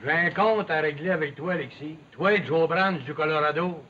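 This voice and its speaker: An old, muffled television soundtrack with light noise holds a proud Quebec lumberjack who nurses a grudge. The voice is sharp and somewhat high for a male, and the speech is blunt, rustic and accusing. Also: moderate pace. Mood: resentful. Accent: Quebec French. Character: Big William, proud, resentful, quarrelsome lumberjack. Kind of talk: 1950s French Canadian television drama acting.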